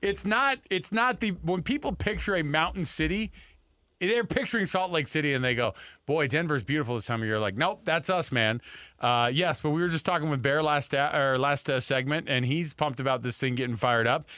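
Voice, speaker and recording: A man's voice: on the high side, a little fast, sharp tone, no room sound, clean studio sound. A man hosting a casual sports radio talk show and putting questions to a guest. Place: dry radio studio.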